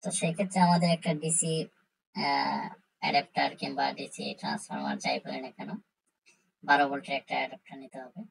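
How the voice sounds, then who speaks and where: A low-voiced woman presents an informal technical tutorial. Her voice is husky and somewhat unclear in tone. She explains haltingly, step by step, often repeating herself. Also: huge pitch swings, low-quality recording. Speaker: tutorial host.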